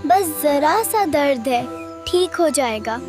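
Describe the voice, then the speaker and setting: A woman's voice: high voice, expressive, slow pace, over music. A woman voicing a small, tender-hearted village girl in a dramatised moral story. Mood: soothing.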